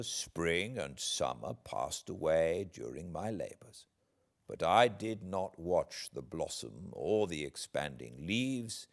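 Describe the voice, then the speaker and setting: A British man, an audiobook narrator, reading classic literature aloud in a measured, expressive, dramatic way. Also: low voice. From Britain.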